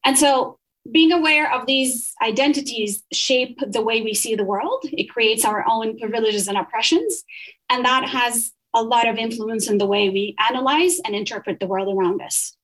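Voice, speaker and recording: A woman speaks in a somewhat high voice. She is earnest and measured, reading poetry and then explaining her research, stumbling and restarting now and then. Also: fairly bright tone, poor audio. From Saudi Arabia. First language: Arabic.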